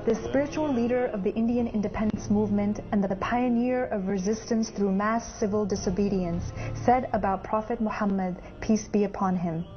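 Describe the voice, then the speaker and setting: Female documentary narrator, mid pitch, scripted read, dull lo-fi recording. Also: normal speed, hardly any pauses. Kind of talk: scripted narration introducing quotations. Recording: dull lo-fi audio. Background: faint music.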